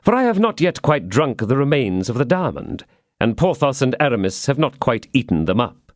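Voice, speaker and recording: A man voicing a somber, quiet older male swordsman in an audiobook. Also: mid-range voice, sing-song, normal speed, no room sound, studio quality, natural voice.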